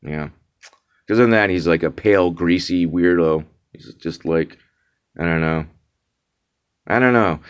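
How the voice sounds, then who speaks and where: Low-voiced man ranting casually. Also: measured pace, long pauses, clear, resonant tone. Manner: exasperated, mocking, repeats words for emphasis; frequent restarts and fillers. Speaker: vlogger. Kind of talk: casual rant to camera.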